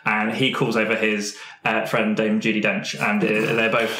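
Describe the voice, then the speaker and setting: A man playing a roleplaying game, improvising casually with halting, animated retelling. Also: on the low side. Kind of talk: casual improvised storytelling among friends. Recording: roomy, echoey recording.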